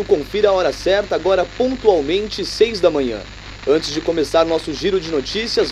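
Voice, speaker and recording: Morning radio announcer: very high male voice, formal bulletin delivery, faint noise behind. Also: darkish tone, rough recording. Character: brisk morning radio newsreader. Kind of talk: radio news bulletin reading.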